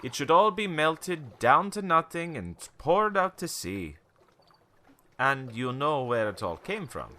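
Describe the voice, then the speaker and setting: A slow-talking, swooping old storyteller. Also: male voice, on the high side, sing-song, bright, forceful tone, exaggerated voice. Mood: reminiscing. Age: older. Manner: cryptic, theatrical, rambling storytelling with knowing chuckles. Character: wary, cynical old male townsman, former shopkeeper, storyteller. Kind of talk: radio play acting.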